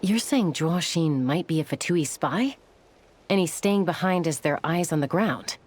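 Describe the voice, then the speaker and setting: Game role: serious, protective young woman, lowish voice, wary and measured delivery. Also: expressive, darkish tone, slight background noise. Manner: measured, guarded. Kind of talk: video game voice acting.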